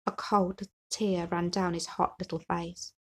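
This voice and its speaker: A woman reading a children's picture book aloud as an expressive storyteller. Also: fairly low pitch, a little slow. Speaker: story reader.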